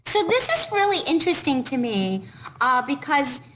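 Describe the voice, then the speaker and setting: A woman's voice: high voice, big pitch swings, moderate pace, fairly bright tone, a little hiss or hum, low-quality recording. A woman co-hosting a live video call interview, encouraging and leading her guest with questions. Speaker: co-host from a recovery center. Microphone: video call webcam.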